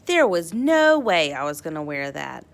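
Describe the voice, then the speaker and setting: A woman reading a children's picture book aloud as narrator, with playful dramatic emphasis and drawn-out words. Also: low voice, sing-song, creaky. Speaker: storybook read-aloud narrator.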